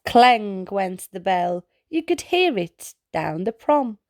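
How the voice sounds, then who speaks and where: A woman reading a children's picture book aloud as a storytime host, in an expressive way with exclaimed sound-effect words. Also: mid-range voice, no echo. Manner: slow, deliberate delivery for young children.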